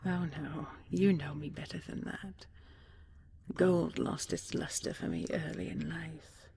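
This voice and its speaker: A woman playing an ancient dragon queen in an intimate sleep roleplay, tender, regal and wistful, speaking softly and breathily in slow, stately phrases, often half-whispering. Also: low-pitched, steady tone, creaky, heavily acted. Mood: wise, wistful. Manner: slow, measured, stately, with lingering pauses.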